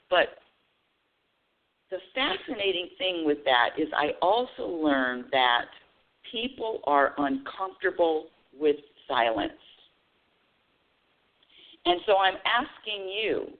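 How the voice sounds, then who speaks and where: A woman hosting a spiritual call-in radio show, talking earnestly and often restarting her phrases. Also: deep voice. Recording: tinny phone-line audio.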